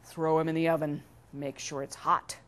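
A woman's voice: low-pitched, flat delivery, normal speed, a bit bright, voice pushed down. A woman puts on a stingy, bad-tempered storybook king. He speaks in curt, commanding bursts, as one used to ordering servants about. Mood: irritated, commanding. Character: grumpy, miserly male king barking orders.

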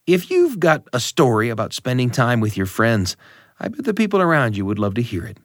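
A man's voice: mid-range voice, huge pitch swings, no room sound. Chatty man hosting, dry studio sound. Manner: friendly, chatty, quick restarts. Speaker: radio show host. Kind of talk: casual radio talk. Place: radio studio around a desk.

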